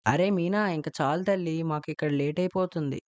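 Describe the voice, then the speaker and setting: Muffled, lower-pitched cartoon husband, voiced by a woman, hurried, good-natured. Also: a little mellow, lightly acted. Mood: impatient, affectionate. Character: hurried, mildly impatient father and husband.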